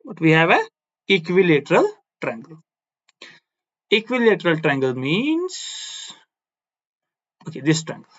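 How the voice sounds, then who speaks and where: A man's voice: on the high side, sing-song, measured pace, long pauses, fairly bright tone, lo-fi sound. A man whose first language is Kashmiri, teaching physics on a board and repeating key phrases, often checking with "no?". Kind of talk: blackboard physics lecture.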